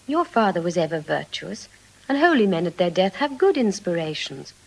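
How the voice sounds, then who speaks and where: An actress with a British accent playing a sensible, gently chiding waiting-woman, composed and sententious, in classical Shakespearean style. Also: on the low side, big pitch swings, moderate pace, heavily acted, poor audio. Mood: reassuring. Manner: composed, sententious, crisply phrased.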